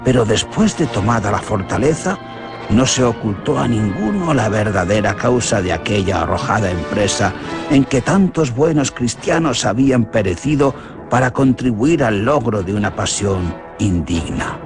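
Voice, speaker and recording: A man with a Spanish accent from Spain, narrating a legend for a radio drama in an expressive, theatrical way. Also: fairly low pitch, normal speed. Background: music.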